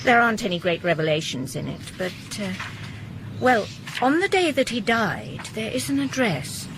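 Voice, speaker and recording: A woman's voice: a little high, big pitch swings, moderate pace, noisy background. A composed policeman's widow. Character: composed, perceptive middle-aged widow of a policeman. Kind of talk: radio drama acting.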